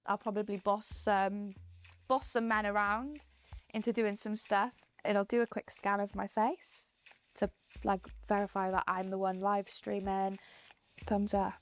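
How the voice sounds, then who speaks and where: A woman's voice: mid-range voice, little pitch movement, measured pace. A young woman from Wales with a Welsh accent, speaking bluntly and matter-of-factly in a documentary interview. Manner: blunt, matter-of-fact, unapologetic. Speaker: streamer interviewed in a documentary clip.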